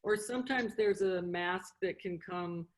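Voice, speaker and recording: A woman's voice: on the low side, a little slow. A middle-aged woman giving an informal beginner lesson, explaining in short, simple steps with repetition and questions to check in. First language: English. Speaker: sign language interpreter and instructor.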